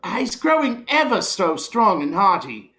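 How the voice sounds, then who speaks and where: A woman playing a gentle, doting poor father in a radio play, earnest, over a noisy recording. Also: medium pitch, big pitch swings, measured pace. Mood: fond, wistful. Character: threadbare, gentle, doting father Bob Cratchit.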